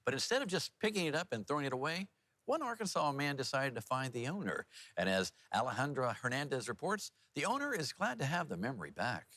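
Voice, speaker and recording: A man's voice: mid-range voice, sing-song, fast talker, pushed and bright, no room sound. A man anchoring TV news in a folksy, playful, chatty style, leaning on words for dramatic emphasis. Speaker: news anchor and feature reporter. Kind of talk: chatty TV news anchoring and light feature narration.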